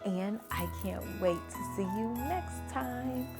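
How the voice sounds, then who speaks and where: A teacher reading a children's picture book aloud at bedtime, gentle and expressive. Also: female voice, a little high, takes it slowly, over loud music.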